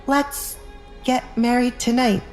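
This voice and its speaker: A woman's voice: fairly high pitch, fairly even intonation, slow pace, a little mellow, voice pushed up. A defiant young noblewoman, acted by a woman narrator, cool and resolute. It is dramatic audiobook acting with faint music underneath. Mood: cold resolve.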